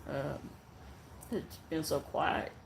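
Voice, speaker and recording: A soft-voiced live-stream host chatting casually with viewers in a rambling, halting way before a story reading. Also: medium pitch, fairly even intonation, creaky, slight background noise. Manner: rambling and halting, with many fillers and trailing sentences.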